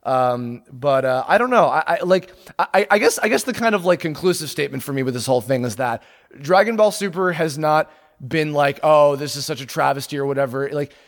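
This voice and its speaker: A man's voice: a little high, fairly quick. A man hosting a casual fan podcast, talking in a rambling way with many restarts and fillers, and joking with his guest. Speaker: podcast host.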